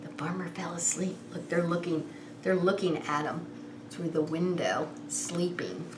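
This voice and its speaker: A woman hosting story time as a preschool teacher, reading in a sing-song, animated way and coaxing small children with questions. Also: on the low side, measured pace. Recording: roomy echo with some background noise.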